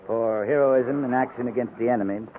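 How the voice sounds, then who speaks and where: A man's voice: mid-range voice, fairly even intonation, brisk, lightly acted. Cavalry captain played by a man: dry authority, clipped commands, fair-minded. Radio western, thin vintage sound. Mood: dry, stern. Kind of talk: 1950s radio western acting. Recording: tinny 1950s radio recording, faint background noise.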